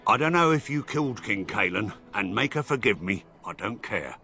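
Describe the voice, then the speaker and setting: An actor playing a greedy, rough bandit leader with coarse rustic speech in a video game. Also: male voice, fairly low pitch, very animated, normal speed, pushed and bright, faint music behind, heavily acted. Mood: menacing.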